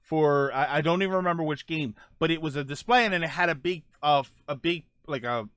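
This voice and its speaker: A man's voice: fairly high pitch, fairly quick, a bit bright, dry recording, clean studio sound. A man chatting casually as host of a live stream, rambling and joking as he answers viewers.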